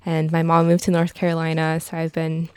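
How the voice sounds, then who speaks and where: A young woman, a newspaper reporter, speaking softly and a little hesitantly in a casual radio interview. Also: sits low, completely flat delivery, fairly quick, smooth, dry recording. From grew up in China and Washington, D.C. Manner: soft-spoken, self-described shy, hesitant with fillers.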